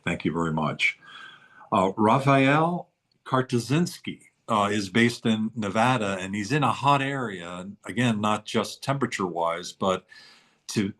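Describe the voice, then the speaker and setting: Low-voiced male webinar host. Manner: warm, thanking and introducing guests. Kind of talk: hosting a webinar. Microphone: video call.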